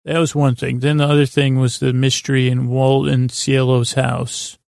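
A man hosting a sleep podcast, rambling in a halting, meandering way with lulling, soothing tones. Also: mid-range voice, flat delivery, a little mellow, no echo, studio quality. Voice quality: creaky, gravelly. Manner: meandering, hesitant, halting, trailing off into tangents. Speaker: podcast host.

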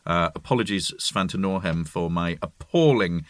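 A man's voice: low voice, moderate pace, no echo. A middle-aged man from near York, co-hosting a casual chat and describing places in eager detail. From near York, England. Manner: eager agreeing, descriptive storyteller.

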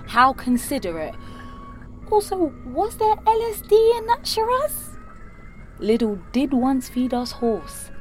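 Young anxious, sardonic woman for intimate audio-drama monologue; candid, sweary delivery over prominent music. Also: on the high side. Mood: bitterly wry. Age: young adult. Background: music and sound design.

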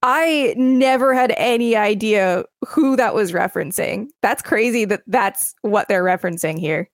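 A woman's voice: mid-range voice, a little slow, no room sound, clean studio sound. A woman co-hosting a podcast, reading articles aloud and chatting casually between entries. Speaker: podcast co-host.

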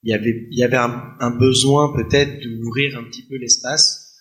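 A man's voice: mid-range voice, steady tone, normal speed, fairly bright tone, poor audio. A man answering conversationally as an interview guest, hesitant as he searches for his words. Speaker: interview guest, restaurant professional.

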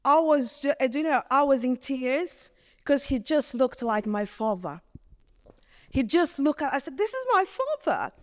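A woman's voice: sits high, sing-song, no echo. Earnest Brazilian-accented woman, dry sound. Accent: Brazilian Portuguese. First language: Portuguese. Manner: earnest, halting, rephrases often. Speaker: vicar, guest. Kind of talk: reflective testimony in conversation.

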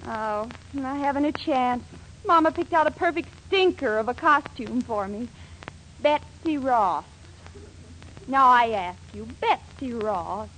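An actress playing a plucky, scheming young sweetheart with an American accent, girlish and brisk, in 1940s radio sitcom style. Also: on the high side, expressive, a bit bright, rough recording. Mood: worried, exasperated. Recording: hissy 1940s radio recording.

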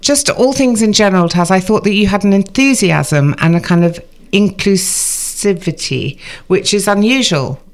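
A woman's voice: medium pitch, moderate pace, hardly any pauses. A middle-aged woman co-hosting a chat in a clinic, chatty and self-deprecating, rambling a little as she talks. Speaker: co-host, journalist. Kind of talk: casual chat. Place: clinic room.

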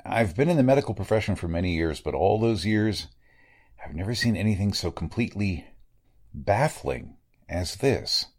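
A man plays an educated, perplexed veteran coroner in an old-time radio drama. His voice is fairly deep and highly animated in pitch. He talks formally and emphatically, with gruff authority. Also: normal speed. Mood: grave, perplexed. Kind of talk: 1940s radio drama acting.

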